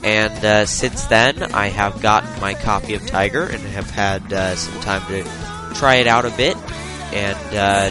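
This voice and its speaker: A man with a head cold hosting a solo tech podcast, talking loosely with lots of uhs. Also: lowish voice. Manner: rambling, many filler uhs. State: congested from a cold. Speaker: podcast host.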